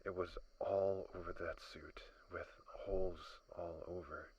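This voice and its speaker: A man playing a stranded space crash survivor in an improvised horror audio drama, unraveling and paranoid, halting and muttering, often dropping into whispers. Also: low voice, fairly even intonation, a little mellow, husky, lo-fi sound. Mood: anxious muttering.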